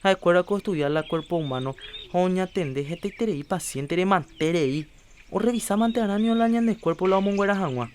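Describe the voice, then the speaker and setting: A man's voice: high voice, fairly quick, a little hiss or hum, close to an everyday voice. A man with a Paraguayan accent playing an earnest community health promoter in an educational radio drama. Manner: earnest, persuasive, lecturing. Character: earnest adult man urging health checkups.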